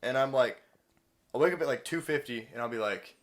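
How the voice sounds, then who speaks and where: A man with an average-pitched voice chats casually as a podcast co-host. He talks in an excitable, rambling manner and swears freely. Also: brisk. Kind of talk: casual chat.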